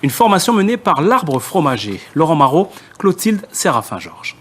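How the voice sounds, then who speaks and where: A man's voice: a little high, big pitch swings, normal speed, a little hiss or hum. A man presenting the evening television news from a script, as the anchor.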